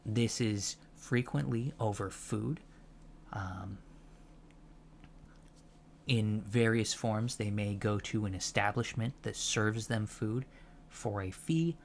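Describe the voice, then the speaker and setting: Soft-spoken male ASMR lecturer, patient alien-professor roleplay, unhurried and halting, lowish pitch, humming background noise. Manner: halting, pedantic, explanatory asides.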